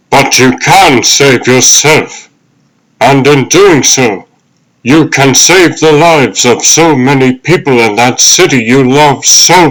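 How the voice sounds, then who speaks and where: Man playing a slow-speaking, fatherly ghost of an old butler. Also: on the high side, pushed and bright, heavily acted, low-quality recording. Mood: tender, encouraging. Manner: deliberate, earnest, fatherly. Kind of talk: comic dub dramatic monologue.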